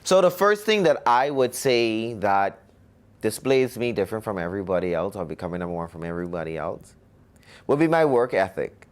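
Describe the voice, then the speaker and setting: A man's voice: on the low side, expressive, fairly quick. A young man from the Bahamas, a musician answering interview questions in a cocky, self-promoting way.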